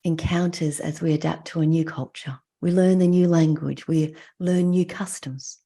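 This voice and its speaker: A middle-aged Australian woman with a lowish, mellow voice and a husky edge. She gives a reflective talk as a clinician, setting out her thoughts in deliberate, measured phrases with pauses between them. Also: fairly even intonation. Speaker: mental health clinician giving a talk. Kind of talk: reflective prepared talk with personal stories.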